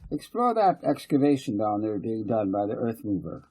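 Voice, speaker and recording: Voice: medium pitch, big pitch swings, moderate pace, warm tone. A man voicing a hearty, bossy father in an audiobook story. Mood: hearty, commanding.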